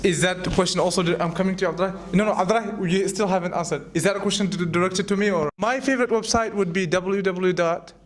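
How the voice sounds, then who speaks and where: A young man teaching English answers his students' questions in an animated way, often repeating a word before going on. His voice sits high for a man. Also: fast talker, few pauses, a little hiss or hum. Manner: animated, repeats words while thinking.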